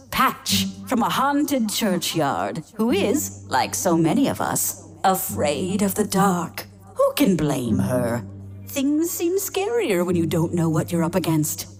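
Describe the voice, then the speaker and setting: A woman's voice: lowish voice, a little mellow. A woman voicing a sweet, genteel ghostly nanny who hosts a spooky storytelling show for young children in a theatrical, coaxing manner. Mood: playful, doting.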